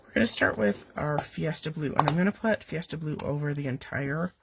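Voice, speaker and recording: A woman's voice: low voice, brisk, background noise. A woman with a cold hosting a live card-making stream from her home basement, giving a chatty, rambling step-by-step demonstration. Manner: chatty, rambling, with fillers and asides to her cat. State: has a cold, voice weak and coming and going. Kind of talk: casual step-by-step craft demonstration.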